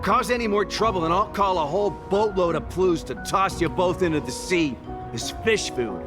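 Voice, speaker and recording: A man's voice: sits high, moderate pace, lightly acted. An actor playing a scornful young male local in a video game, speaking in a sneering, taunting manner. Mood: threatening. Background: game music.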